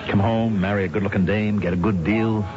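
Man acting shady loyal war buddy, deep voice, slangy clipped talk, vintage radio drama, dull sound. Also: fairly even intonation, brisk, over loud music, natural voice. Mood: friendly, cool. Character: plain-spoken, shady, loyal old Army buddy. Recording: muffled old radio recording.

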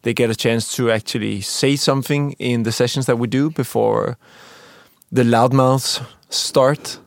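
Measured young man, close dry voice. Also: mid-range voice, steady tone, vocal fry, dry recording. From Denmark. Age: young adult. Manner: measured, explanatory, dry humor. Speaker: podcast co-host. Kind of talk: casual podcast chat.

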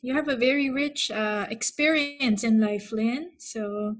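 A woman, talking thoughtfully as a podcast host with many pauses. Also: a little high. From Philippines. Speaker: psychotherapist. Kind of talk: casual podcast chat.

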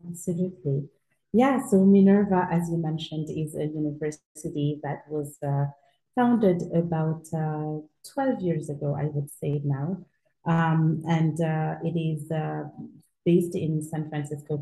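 A woman from Senegal answers interview questions in a low, very mellow voice. She explains things in a measured, steady way, pausing on fillers, and her voice echoes in the room. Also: gravelly. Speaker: university outreach director, guest.

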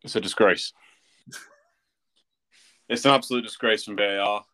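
Low-voiced man, dryly teasing football fan. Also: fairly even intonation, normal speed, a bit bright. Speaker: football podcast co-host and Manchester United fan. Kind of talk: casual football chat.

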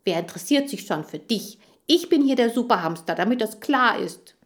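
Children's audiobook hamster, played by a woman: young male, cocky, gushing, very high and swooping. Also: normal speed, close to an everyday voice. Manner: gushing, repeats words excitedly. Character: excitable, boastful young male hamster narrator Neo.

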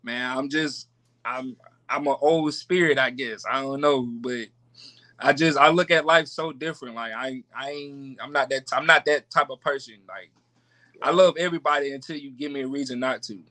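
A man in his twenties, a podcast guest, chatting in relaxed slang with frequent restarts. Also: mid-range voice, moderate pace. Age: 20s. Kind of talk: casual chat.

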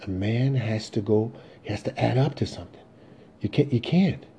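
A man's voice: mid-range voice, moderate pace, dark tone, slight background noise, voice pushed up, lightly acted. A man voicing a desperate, rambling aging salesman who pleads insistently and repeats himself. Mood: urgent, pleading.

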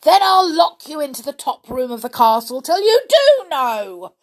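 A woman voicing a huge, dim, bad-tempered male giant in a storybook, bullying and loud. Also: medium pitch, animated, measured pace. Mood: angry roaring. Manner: roaring, thundering, muttering over sums.

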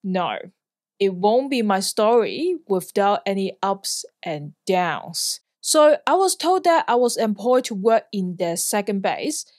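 A woman's voice: medium pitch, big pitch swings, slow pace, darkish tone, dry recording, studio quality. A woman in her thirties from Hong Kong, a podcast host telling a personal story in an earnest, plain way. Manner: earnest, plainly worded, occasional light laugh. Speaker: podcast host, flying instructor.

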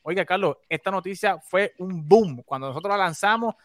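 A man's voice: high voice, brisk, no echo, studio quality. A Puerto Rican man hosting a sports talk show, enthusiastic and often restarting his sentences. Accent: Puerto Rican Spanish.

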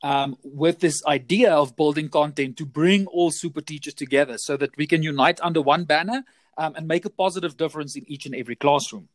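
A man's voice: on the high side, fairly quick, no room sound. A South African man whose first language is Afrikaans, talking as a podcast guest, chatty and often repeating words as he restarts. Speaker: guest, teacher trainer. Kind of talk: casual podcast interview.

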